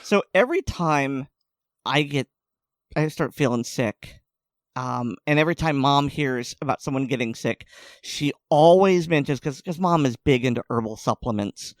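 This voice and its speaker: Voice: mid-range voice, animated, moderate pace, no room sound, studio quality. A podcast co-host chatting casually, rambling and restarting sentences, laughing often. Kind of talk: casual chat.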